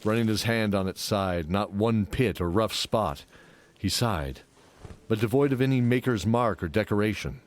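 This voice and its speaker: A man's voice: lowish voice, moderate pace. A man narrating a scripted fantasy audio drama. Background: loud music.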